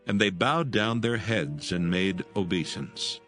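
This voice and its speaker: A man's voice: sits low, animated, measured pace, fairly bright tone, soft background music. A man narrating solemn scripture as part of a dramatised audio Bible.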